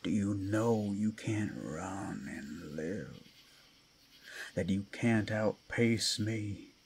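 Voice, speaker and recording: A man playing a predatory male werewolf in an ASMR roleplay, menacing and seductive, theatrical, with a gravelly voice that often drops to whispers. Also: fairly low pitch, extremely slow, a little hiss or hum, heavily acted. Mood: predatory, threatening. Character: predatory male werewolf toying with his prey. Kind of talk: ASMR roleplay, dramatic monologue.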